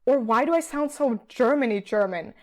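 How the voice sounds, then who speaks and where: Woman from Austria, podcast guest, casual chat, thinking aloud, fairly high voice. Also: expressive, moderate pace. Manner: chatty, thinking aloud with fillers. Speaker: guest, PhD student.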